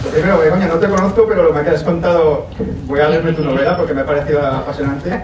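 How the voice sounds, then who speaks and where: Fast, effusive middle-aged man from Valencia. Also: fairly high pitch, steady tone, darkish tone, a little hiss or hum. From Valencia, Spain. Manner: effusive, enthusiastic praise. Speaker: audience member. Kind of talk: audience comment at a conference Q&A. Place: conference hall with audience. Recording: echoey hall from a distant mic, crowd behind.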